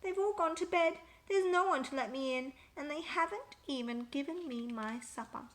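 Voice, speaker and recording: A woman's voice: high-pitched, normal speed, pitched above the performer's own voice, close to an everyday voice. A woman voicing a forgetful, self-pitying pet cat musing plaintively in a children's story. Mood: sad, sorry for herself.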